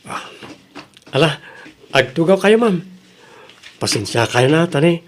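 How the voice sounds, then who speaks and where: A man's voice: fairly high pitch, animated, measured pace, a little hiss or hum. A man playing a hardworking, upright young farmer grandson in a radio drama, speaking earnestly with an Ilocano accent. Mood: puzzled, polite.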